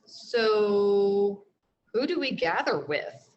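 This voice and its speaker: A woman's voice: mid-range voice, big pitch swings, extremely slow, muffled recording. Woman reading as a sensible young storybook boy. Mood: curious. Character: sensible, cautious eight-year-old boy in a storybook.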